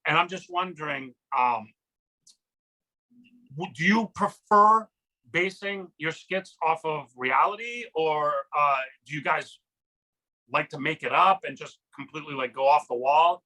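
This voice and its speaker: A man co-hosting a chat show, asking polite, measured questions with small hesitations. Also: a little high, pushed and bright, low-quality recording. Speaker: podcast co-host. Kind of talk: casual interview chat.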